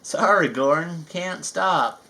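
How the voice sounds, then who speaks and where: A man's voice: on the high side, slow, gravelly, sharp tone, full character voice. A man voicing a cheerful, eager young male tank engine in a storybook reading. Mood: hurried. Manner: eager, earnest.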